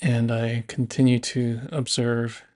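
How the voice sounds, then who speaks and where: A man narrating a guided body scan meditation in a gentle, unhurried, instructive manner. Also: medium pitch, level tone.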